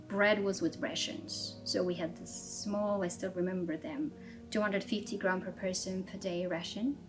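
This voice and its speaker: Reflective woman from Armenia. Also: mid-range voice. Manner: measured, reflective, with frequent fillers and restarts. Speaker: interviewee, strategy director. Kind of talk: personal interview, storytelling. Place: office.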